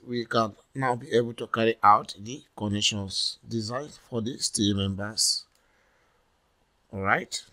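A man from Nigeria presenting a software tutorial as a voice-over for a screen recording, talking deliberately and often saying "all right". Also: fairly low pitch.

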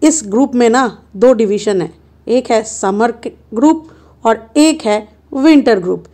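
Woman vlogger, playful chatty narration at an unhurried pace; slightly high voice. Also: mellow. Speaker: travel vlogger. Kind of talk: casual travel vlog narration. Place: car and roadside stops.

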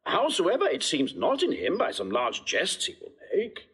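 Theatrical, husky, high-voiced scheming prince. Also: male voice, wildly swooping pitch, normal speed, fairly bright tone, heavily acted. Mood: playfully scheming. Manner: theatrical, mock-earnest, courtly. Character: genial scheming prince, staging talk to be overheard. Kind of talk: classical Shakespearean stage acting.

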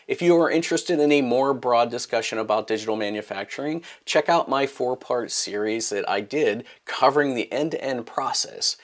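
A middle-aged man hosting a maker channel, talking to camera in a scripted explainer, starting phrases high and emphatic and dropping low at their ends, recorded close in his home workshop. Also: moderate pace, few pauses. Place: home workshop room with purple lighting.

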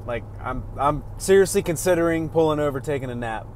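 Young dude rambling jokes at his camera while driving, kinda high voice, road noise all over. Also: brisk, darkish tone. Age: young adult. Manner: joking, rambling, goofy outbursts. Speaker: fishing vlogger. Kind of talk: casual vlog talk to camera. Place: inside a pickup truck while driving. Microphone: phone or action camera at arm's length. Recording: road noise from a moving car.

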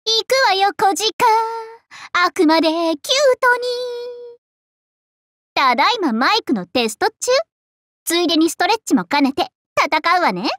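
A woman's voice: extremely high pitch, expressive, unhurried, pauses often, fairly bright tone, no room sound, full character voice. A woman voicing a vain, bubbly teenage dragon-girl idol princess in an anime game, with theatrical, singsong, boastful delivery. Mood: bubbly, showy. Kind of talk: anime game character voice lines.

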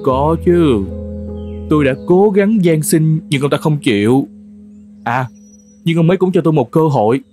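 A man's voice: on the high side, lively intonation, normal speed, long pauses, mellow, natural voice. A man voicing a weary old horse in a cartoon folk tale, plaintive and resigned. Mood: dejected, resigned. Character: weary, despondent old male horse, loyal and cast out. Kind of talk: animated folk tale dialogue. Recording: music under the voice.

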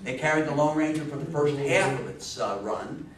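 A man's voice: a little high, normal speed. An American man in his fifties or sixties giving an informal history talk, emphatic and fond of rhetorical questions. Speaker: lecturer on radio history. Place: small indoor meeting room with seated audience. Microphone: distant camera microphone. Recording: echoey room, far microphone, faint hiss.

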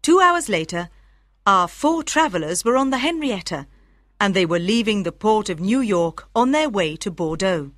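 Female storybook narrator, animated pitch, crisp enunciation, dry close studio sound. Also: normal speed, no echo. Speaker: audiobook narrator.

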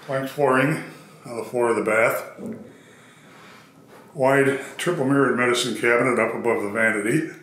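A man narrating a walkthrough of an empty apartment, describing each room in an upbeat real-estate pitch. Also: normal speed, pauses often, a bit bright, slight background noise. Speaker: apartment tour host. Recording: echoey empty rooms.

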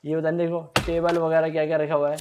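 Young male gamer, slightly high voice, casual thinking-aloud commentary, faint crackling noise behind. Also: level tone, fast talker. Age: young adult. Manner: thinking aloud, repeats himself. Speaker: gaming streamer. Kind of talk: casual let's play commentary.

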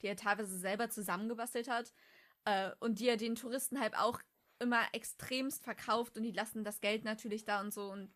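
A woman's voice: fairly high pitch, steady tone, a little fast, fairly bright tone. A woman co-hosting a podcast in a casual, conversational style. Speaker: podcast co-host. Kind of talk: casual chat.

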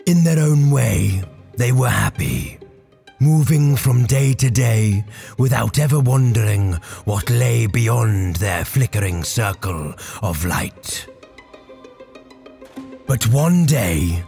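A man playing a grave, impassioned warrior orator in a theatrical, measured audio drama monologue over music. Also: medium pitch, lively intonation, vocal fry, darkish tone. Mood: solemn storytelling. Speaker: voice actor.

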